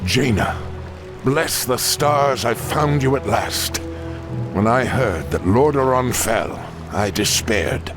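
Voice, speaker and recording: A man's voice: medium pitch, expressive, slow pace, over music. An actor playing a proud, unforgiving older admiral and father in a video game, grand and condescending in manner. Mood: relieved, then shocked. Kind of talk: video game cutscene acting.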